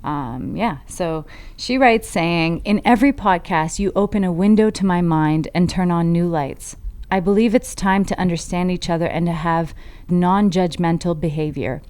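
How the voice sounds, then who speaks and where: A woman's voice: on the low side, normal speed, darkish tone, clean tone. A woman co-hosting a podcast, reading listener comments aloud and bantering with her co-host.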